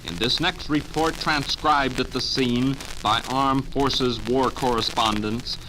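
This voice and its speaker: A man's voice: mid-range voice, steady tone, slow pace. An American man narrating a radio news report in 1950s scripted style. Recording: noisy 1950 radio transcription disc.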